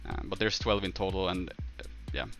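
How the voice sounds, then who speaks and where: Low, bright-voiced Swedish man chatting on stream. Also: faint music behind. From northern Sweden. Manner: halting, thinking aloud. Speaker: game livestreamer. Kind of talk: casual livestream chat, answering viewers' questions.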